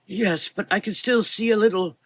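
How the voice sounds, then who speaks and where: A man voicing a frightened, frail elderly doctor with a weak, thin voice and halting speech in a gothic horror audio drama. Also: sits high, pitched above the performer's own voice. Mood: awed, bitter. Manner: halting, repeating phrases, exclaiming.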